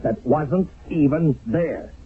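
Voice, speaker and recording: An actor playing a stern, skeptical wartime army captain in a radio drama, commanding, clipped and sardonic. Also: male voice, fairly high pitch, takes it slowly, breathy edge, full character voice. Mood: angry, sardonic. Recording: narrow, phone-like vintage radio broadcast.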